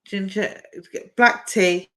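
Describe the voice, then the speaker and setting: A woman in middle age gives live card readings over a home stream. She talks in a chatty, affectionate way and keeps calling people "my darling". Her voice sits at a middle pitch. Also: steady tone, slow. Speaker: psychic medium giving live readings.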